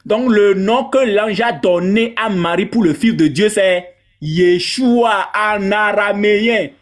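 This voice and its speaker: A man from Togo, giving polemical religious commentary in an animated, mocking way, repeating phrases for emphasis. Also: high voice, slow pace.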